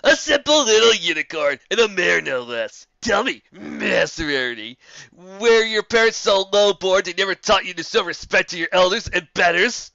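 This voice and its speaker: A boastful male griffin bully, acted out by a story narrator, throwing scornful taunts in a loud theatrical sneer. The delivery is bright and forceful, swinging widely, in a dull, close recording. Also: mid-range voice, animated, moderate pace, gravelly, dry recording, pitched above the performer's own voice. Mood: mocking. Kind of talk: fan fiction story character voice.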